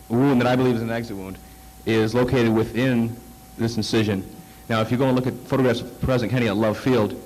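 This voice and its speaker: A man presenting slides at a conference, halting with many fillers and restarts, fighting off a cold. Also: fairly low pitch, a little mellow, poor audio. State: coming down with a cold. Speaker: conference speaker. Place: conference hall.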